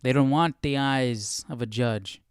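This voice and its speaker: A man's voice: medium pitch, a little slow, clean tone, dry recording, studio quality. A man hosting a live study session, explaining passages casually and often checking for agreement with short tag questions. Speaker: Bible study livestream host. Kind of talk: casual live commentary on verses.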